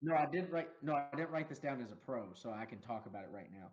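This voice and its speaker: Co-host, male, lively pitch, chatty movie rundown, slightly dull audio. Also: quick pace. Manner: animated, laughs often, acts out quotes. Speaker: podcast co-host. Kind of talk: casual movie review chat.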